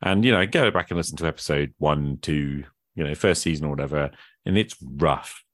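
A man's voice: a bass voice, a little fast, a bit bright, no echo, clean studio sound. A man hosting a podcast in an informal, rambling conversational way, thinking aloud as he goes. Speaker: podcast host. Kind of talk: casual conversational interview.

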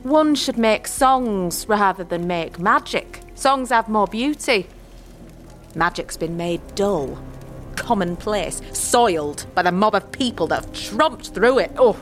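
Gruff old folksy wise-woman, animated. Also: mid-range voice, slow pace, soft background music. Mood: stern, warning. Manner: rustic dialect, blunt, scolding. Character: gruff old village witch woman, wary, folksy, teasing. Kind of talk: audio drama acting.

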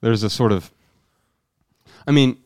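A man hosting a podcast, chatting casually and reflectively, thinking ideas out loud and asking questions. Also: medium pitch, measured pace, clear, resonant tone, no room sound, studio quality. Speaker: podcast host.

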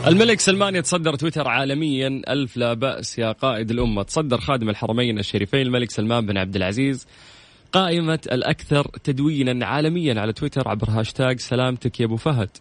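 Fast-talking Saudi male radio host. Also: medium pitch, dry recording, soft background music. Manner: informal, addresses listeners directly, drops in English words. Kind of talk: casual, chatty radio presenting.

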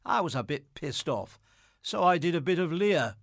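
A man's voice: a little high, animated, normal speed, no room sound. A man presenting scripted material and reading anecdotes aloud.

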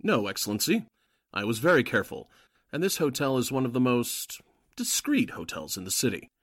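Man playing a deferential secret agent, husky, dry. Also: mid-range voice, very animated, brisk, fairly bright tone, dry recording, exaggerated voice. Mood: guarded, respectful. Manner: obedient, earnest, hesitant. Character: deferential newspaper editor, secretly a Nazi agent, uneasy underling. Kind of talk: 1940s radio drama acting.

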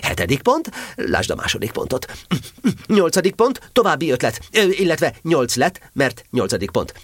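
High-pitched, pompous, animated rabbit. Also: male voice, dry recording, heavily acted. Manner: pompous, officious, throat-clearing. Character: pompous, bossy, self-important rabbit organiser. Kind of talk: children's audio play.

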